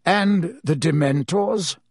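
A man voicing a calm, wise elderly male headmaster who speaks quietly and measuredly. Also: high voice, takes it slowly, vocal fry, slightly rough, no echo, clean studio sound, pitched above the performer's own voice, heavily acted. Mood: gently amused.

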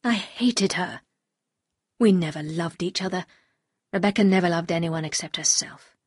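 A woman voicing a bitter, anguished upper-class husband in an audiobook, speaking gravely and confessionally. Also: on the low side, normal speed, no room sound, clean studio sound, pitched below the performer's own voice. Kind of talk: audiobook character dialogue.

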